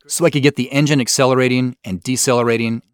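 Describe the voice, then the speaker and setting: A man's voice: mid-range voice, fairly even intonation, fast, smooth, no room sound. A man giving scripted behind-the-scenes commentary as the creator of an audio drama.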